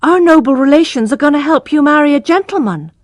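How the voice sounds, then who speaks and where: A woman voicing an eager, curious young boy who asks high, excited questions. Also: fast talker, dry recording, clean studio sound.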